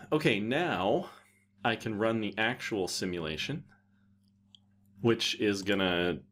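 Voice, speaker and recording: A man presenting a casual, unscripted software tutorial in an offhand way, thinking aloud as he goes. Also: fairly low pitch.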